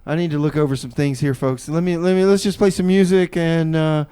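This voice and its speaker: Male community radio host, slightly high voice, casual ad-libbed delivery, halting, homespun, repetitive phrasing. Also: steady tone, dark tone, smooth. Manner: hesitant, folksy, repeats phrases. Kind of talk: casual, improvised on-air chat and announcements.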